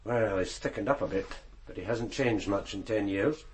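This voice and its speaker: A man's voice: lowish voice, moderate pace, darkish tone, lightly acted, poor audio. A man playing a seasoned, grim police detective in a radio crime drama, Scottish, speaking tersely and respectfully. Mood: wry recognition.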